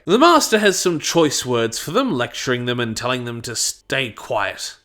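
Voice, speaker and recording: Lively, bright-voiced male reviewer. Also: medium pitch. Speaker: reviewer and narrator. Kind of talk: scripted review narration of an audio drama.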